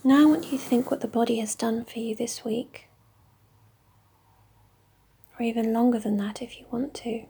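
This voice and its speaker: A woman leading a guided meditation in a slow, soft, mostly whispered voice. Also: a little high, fairly even intonation, creaky, slightly rough.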